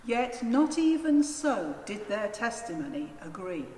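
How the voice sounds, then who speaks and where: A woman narrating a dramatised scripture reading in a solemn, deliberate manner. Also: medium pitch, sing-song, warm tone. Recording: echoey church, long reverb, faint noise.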